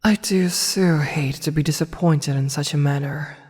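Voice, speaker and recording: A woman playing an imperious evil empress in a fantasy ASMR roleplay, with haughty, formal, measured speech and intimate delivery. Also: sits low, darkish tone, husky. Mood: cold, disdainful. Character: imperious evil empress, adult woman, softening toward her advisor. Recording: long reverberant hall, faint noise.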